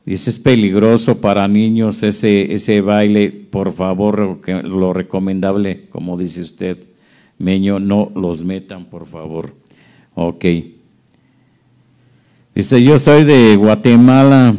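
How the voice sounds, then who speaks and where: A man's voice: on the low side, steady tone, measured pace, warm tone, smooth. A man hosting a live stream, chatting casually as he reads out viewer comments and reacts to them. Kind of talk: casual chat.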